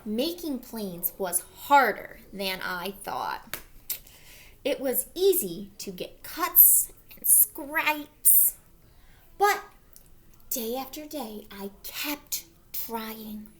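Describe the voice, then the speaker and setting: A woman voicing an anxious, wistful egg who tells his own storybook tale in an earnest, expressive way. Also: a little high, drawn-out words, a little hiss or hum, heavily acted. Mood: determined, hopeful. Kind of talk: picture-book read-aloud.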